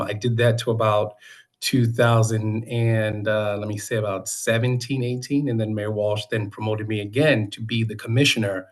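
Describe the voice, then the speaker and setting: A middle-aged man from Boston with an American accent and a fairly low voice. He talks informally, like a local candidate introducing himself to neighbors. His delivery is halting, with pauses and self-corrections as he goes. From Boston, United States. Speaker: city council candidate. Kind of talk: informal campaign introduction speech at a community meeting.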